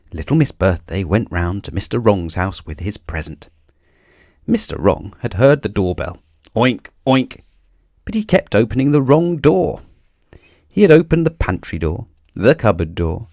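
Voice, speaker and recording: Man, storybook narrator, low pitch, very animated expressive delivery, dry close sound. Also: dry recording. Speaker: storybook reader for children. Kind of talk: expressive read-aloud narration.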